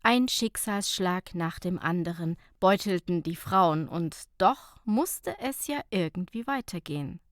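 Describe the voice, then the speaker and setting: A woman's voice: on the low side, lively intonation, a little slow, hardly any pauses, dry recording, clean studio sound. A woman narrating a light, humorous short story as an audiobook reader, in a scripted reading style.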